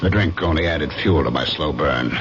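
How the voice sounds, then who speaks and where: A deep-voiced brooding, jealous husband. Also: male voice. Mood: brooding, tense. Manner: brooding, deliberate, dramatic. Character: despondent, jealous, brooding husband, a former electronics executive. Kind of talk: old-time radio drama, first-person narration. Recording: muffled radio recording with loud music behind.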